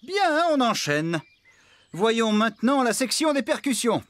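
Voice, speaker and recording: An actor playing a cheerful, eager farmhand and amateur bandleader in a children's cartoon, speaking in an animated, exclamatory way. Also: male voice, very high voice, measured pace, over loud music, exaggerated voice. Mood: coaching.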